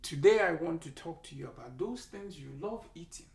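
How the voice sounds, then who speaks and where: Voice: mid-range voice, expressive, moderate pace, vocal fry, a little mellow. A speaker with a Nigerian accent, a doctor giving an emphatic, preachy health talk to camera and repeating key lines for effect. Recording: echoey room, mic held at a distance.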